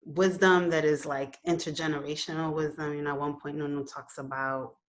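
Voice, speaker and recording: This woman in her thirties speaks in a low register. She grew up in New York City and was born in Puerto Rico. She talks through her ideas slowly, filling pauses with "um" and "you know", over a webcam in her living room. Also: flat delivery, slight background noise. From Puerto Rico, raised in New York City. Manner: thoughtful, many fillers like "you know" and "um"; gestures with her hands while talking. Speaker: filmmaker being interviewed. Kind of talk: reflective casual interview answers. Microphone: laptop webcam on a video call.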